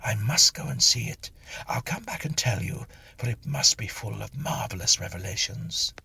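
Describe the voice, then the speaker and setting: A man's voice: mid-range voice, lively intonation, normal speed, vocal fry, pushed and bright, a little hiss or hum, pitched above the performer's own voice, full character voice. A man voicing an irritable, exasperated male ghost who complains in a refined, petulant way, in a dramatised audiobook story. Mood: eager.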